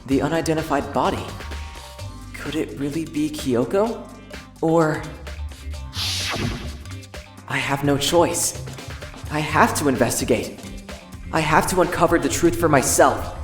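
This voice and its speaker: A man voicing an earnest, anguished high-school boy in an introspective, dramatic anime fandub. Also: unhurried, long pauses, fairly bright tone, reverberant, soft background music. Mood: somber, determined.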